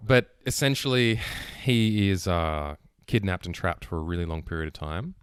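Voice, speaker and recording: A man's voice: sits low, normal speed, clean tone, no room sound, studio quality. A man hosting a podcast, chatting casually and rambling with lots of "like" and restarts. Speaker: podcast host. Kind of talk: casual chat.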